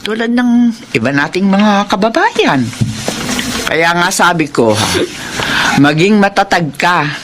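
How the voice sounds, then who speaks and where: A woman's voice: on the low side, a little slow, long pauses. A steadying older mother in a classic radio play. She counsels patiently and firmly. Broadcast hiss lies under her voice. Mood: counseling. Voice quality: calm advising manner. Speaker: actor in a radio drama. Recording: noisy radio recording.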